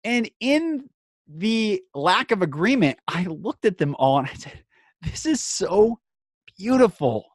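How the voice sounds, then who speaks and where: A man hosting a podcast, speaking in an earnest, emphatic inspirational monologue broken into short phrases with dramatic pauses. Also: high voice, sing-song, slightly rough, no echo, studio quality.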